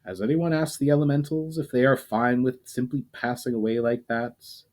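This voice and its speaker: A man's voice: medium pitch, steady tone, moderate pace, darkish tone, lightly acted. A man voicing a practical companion who asks a blunt question.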